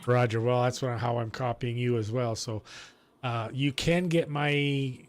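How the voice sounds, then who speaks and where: A man chatting casually as an amateur radio operator, talking in a halting, chatty way with throat clearing. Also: mid-range voice, fairly even intonation. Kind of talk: casual ham radio chat.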